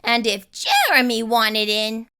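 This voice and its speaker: A woman reading a children's story aloud with animated, deliberate, emphatic phrasing. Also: fairly high pitch, clear, resonant tone. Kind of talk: expressive read-aloud narration for kids.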